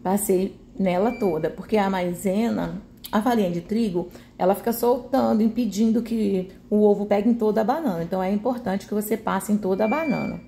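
A woman's voice: medium pitch, a little fast, creaky, mellow, slight background noise. A Brazilian woman giving a casual recipe voice-over in a chatty, homely way, often adding little tag questions to check agreement. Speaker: home cooking video host.

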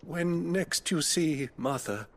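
An actor playing a gentle middle-aged priest with a British accent, speaking formally and compassionately in a video game. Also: male voice, a little high, animated, takes it slowly, heavily acted. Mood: grave, courteous. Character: gentle, solemn middle-aged abbey priest tending the dying. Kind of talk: video game cutscene acting.

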